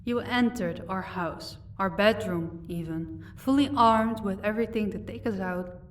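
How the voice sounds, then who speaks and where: A woman's voice: medium pitch, unhurried, natural voice. A woman playing a powerful, commanding vampire lady in an audio roleplay, taunting and condescending with composure. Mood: scornful, taunting. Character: powerful female vampire, mocking, commanding, married lady of an estate. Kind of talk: audio roleplay acting addressed to the listener. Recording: distant microphone, faint background noise.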